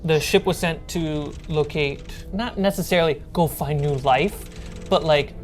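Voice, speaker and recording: A woman with a low voice narrates as the game master of a tabletop role-playing game. Her delivery is informal and a little halting as she lays out each description. Light music sits behind her.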